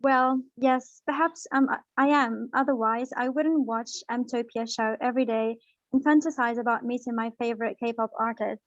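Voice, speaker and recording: A woman student reads her playful, self-mocking paragraph over a video call. Her voice sits a little high and comes through dry and muffled, with the room giving nothing back. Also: steady tone, moderate pace, no room sound. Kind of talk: reading her own writing aloud.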